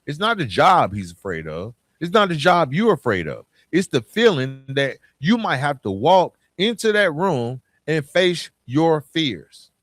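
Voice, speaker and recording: A man's voice: a little high, sing-song, unhurried, hardly any pauses, a bit bright, dry recording, clean studio sound. A man hosting a podcast, giving an emphatic, colloquial motivational monologue with storytelling and repeated key phrases. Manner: colloquial, informal address. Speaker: podcast host.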